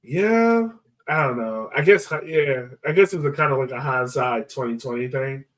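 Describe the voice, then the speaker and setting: A man's voice: mid-range voice, expressive, normal speed, recorded from a distance. A man co-hosting a sports podcast, casually breaking down a soccer match and repeating himself as he thinks aloud.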